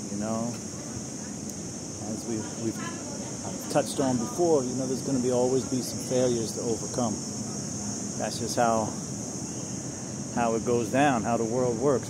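A man in his forties speaks casually into an earbud mic as he walks through a park after the gym, rambling and leaning on "you know" as he urges the listener to keep moving forward. His voice sits at an ordinary middle pitch. Loud outdoor noise from insects and passing traffic fills the recording. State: a little winded after a gym workout. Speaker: vlogger. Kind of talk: casual motivational walk-and-talk. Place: outdoors in a leafy city park. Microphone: earbud headset mic, phone held low at arm's length.

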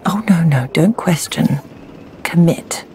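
An actress playing a cool, commanding female smuggling boss in a video game, speaking in a deliberate, enigmatic, self-assured way. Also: fairly low pitch, noisy background. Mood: coaxing, controlling.

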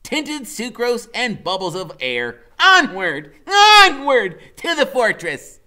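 A man's voice: very high voice, huge pitch swings, slow pace, sharp tone, pitched above the performer's own voice, exaggerated voice. A man voicing a small, imaginative boy who talks in grand, old-fashioned knightly phrases. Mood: grandiose.

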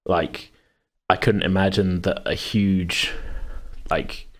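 Man, very low voice, casual podcast host, rambling, self-interrupting. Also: steady tone, slow. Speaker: podcast host and game master. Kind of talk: casual chat.

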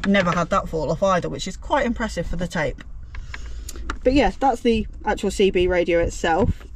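A woman's voice: on the low side, normal speed. A young British woman giving a casual, jokey hands-on tutorial with playful, self-deprecating slang. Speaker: vlogger presenting a car DIY guide. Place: residential street, outside and inside a car. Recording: noisy outdoor car recording.

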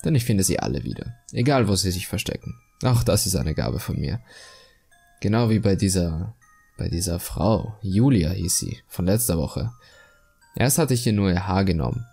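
A man voicing a self-satisfied, calmly cruel murderer obsessed with beauty, in a measured, confiding horror monologue. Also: sits low, gravelly, husky, full character voice. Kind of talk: German creepypasta horror monologue, dramatic reading.

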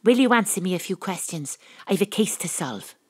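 A woman's voice: on the low side, animated, normal speed, darkish tone, breathy edge, no echo, clean studio sound. A woman playing a determined, wry Welsh private detective, acting and narrating in a noir audio drama. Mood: insistent.

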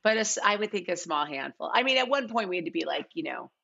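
Casting: American woman, middle-aged, animated interview guest, lowish bright voice, conversational, on dull video-call sound. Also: fast talker, gravelly, slightly rough. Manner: animated, emphatic, piles up quoted asides. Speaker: guest, TV and film casting director. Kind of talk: casual interview chat.

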